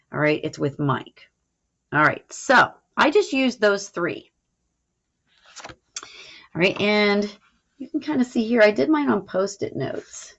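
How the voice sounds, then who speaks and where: A woman's voice: mid-range voice, fairly bright tone. A woman teacher explaining a recorded lesson in an informal way, checking in as she goes. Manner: informal, explanatory, frequent "all right" check-ins. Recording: dull, lo-fi audio.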